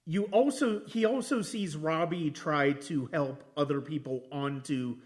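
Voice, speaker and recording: Male podcast host, slightly high voice, unhurried and halting, thinking through films aloud in casual conversation. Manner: halting, circling back to rephrase. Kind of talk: casual film discussion.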